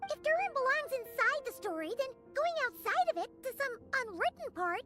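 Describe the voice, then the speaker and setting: A woman voicing a tiny, excitable, anxious childlike companion in a video game, with a squeaky voice. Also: a little fast, over music. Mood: hesitant, anxious.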